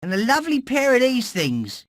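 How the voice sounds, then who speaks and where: A man playing a dim, eager rat sidekick in an animated video game, goofy and literal-minded. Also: very high voice, normal speed, no echo, studio quality, heavily acted. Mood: pleased.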